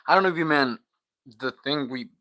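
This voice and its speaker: A man's voice: a little high, brisk, sharp tone, no echo. A man from Guatemala, a native Spanish speaker, chatting casually on a livestream in a slangy, sweary, bro-heavy way.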